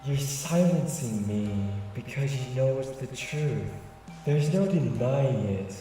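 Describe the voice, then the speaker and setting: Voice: medium pitch, animated, slow, mellow, heavy reverb, long reverb tail, recorded from a distance, soft background music, pitched below the performer's own voice, rough recording. A narrator voicing a cruel inner voice that taunts a girl coldly. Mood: mocking. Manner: cold, mocking.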